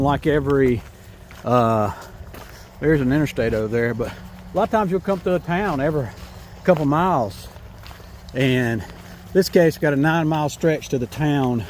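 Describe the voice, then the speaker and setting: A man's voice: on the high side, slight background noise. A man from North Carolina with a Southern accent, vlogging in a casual, rambling way while walking a rural trail. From North Carolina, USA. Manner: rambling, folksy, self-correcting. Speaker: hiking vlogger. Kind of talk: casual walking vlog to camera. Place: outdoors on a rural trail in the rain.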